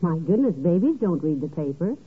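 Sensible wife, tinny radio. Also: female voice, fairly low pitch, very animated, a little fast, breathy edge, exaggerated voice. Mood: gently skeptical. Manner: gently skeptical, folksy. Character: sensible, kindly wife playing secretary. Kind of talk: 1940s American radio comedy acting. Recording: tinny, faintly noisy old radio recording.

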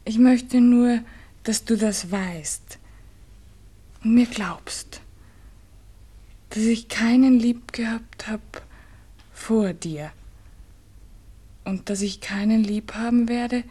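An actress playing a devoted, anxious young woman in a 1960s radio play, with a Viennese accent and a soft, hushed, pleading way of speaking. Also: a little high, slow, pauses often, husky. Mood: tender resigned devotion. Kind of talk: 1960s German-language radio play acting.

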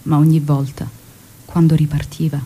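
A young revolutionary's wife in a radio drama. She is devoted and full of longing, with a fairly low, mellow voice that has a husky grain. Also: flat delivery, normal speed, low-quality recording. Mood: tender reminiscence. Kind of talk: theatrical radio drama monologue and dialogue.

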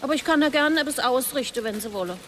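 An actress playing a polite, helpful and unsuspecting daughter in a German radio drama. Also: female voice, high voice, animated, quick pace, fairly bright tone, slight background noise. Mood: politely curious. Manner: polite, casual.

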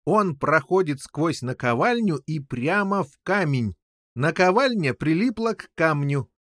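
An excitable, muddled old king, as a male narrator plays him. The voice is high and swoops about, rambling and stumbling through explanations in a storybook reading. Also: unhurried, no echo, clean studio sound. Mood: flustered, explaining. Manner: rambling, stammering, tacks on questioning interjections.

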